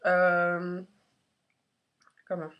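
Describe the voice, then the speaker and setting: A woman's voice: on the low side, completely flat delivery, extremely slow, highs cut off. A woman with a congested, head-cold voice chats informally and a little hesitantly as she reviews products in a casual beauty vlog. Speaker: beauty vlogger.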